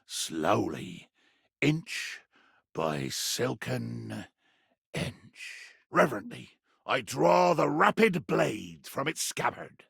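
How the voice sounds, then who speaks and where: A man playing a pompous, belligerent sea captain in a video game, with a raspy voice and a theatrical, grandiloquent delivery. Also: high voice, sharp tone, dry recording, clean studio sound, heavily acted. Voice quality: gravelly, raspy.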